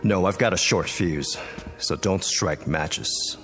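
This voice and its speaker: An actor playing a troubled, quick-tempered young man in a radio drama, hesitant, brooding and intense. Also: sits low, fairly bright tone, soft background music. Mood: simmering.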